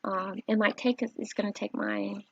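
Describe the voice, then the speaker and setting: A soft-spoken woman, a teacher, gently walking viewers through a website on screen, with a warm voice and frequent fillers. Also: medium pitch, fairly even intonation, measured pace, gravelly, slightly rough, no room sound. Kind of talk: screen-recorded tutorial walkthrough. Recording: dry, slightly dull home recording.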